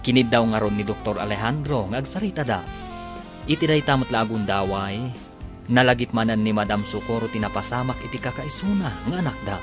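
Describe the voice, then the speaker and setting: A man's voice: mid-range voice, expressive, a little fast. A man with an Ilocano accent giving scripted, dramatic narration as a radio serial announcer. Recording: prominent music under the voice. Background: dramatic music.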